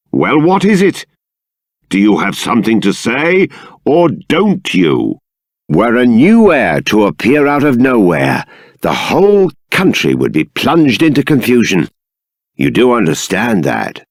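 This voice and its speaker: Middle-aged video game monarch: lowish voice, dry close sound, formal, dignified, deliberate. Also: male voice, creaky, no echo, exaggerated voice. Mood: stern. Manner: regal, formal, measured, deliberate. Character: dignified, stern but fair middle-aged fantasy king. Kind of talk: theatrical scripted game dialogue.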